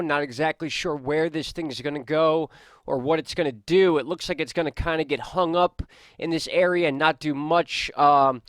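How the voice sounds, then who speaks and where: A man's voice: on the high side, a bit bright, clean tone, no echo, clean studio sound. A man with stuffy, congested sinuses hosting a casual weather and news vlog, talking loosely to viewers with plenty of fillers. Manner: loose, chatty, many fillers, addresses viewers as guys. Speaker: weather and news channel host.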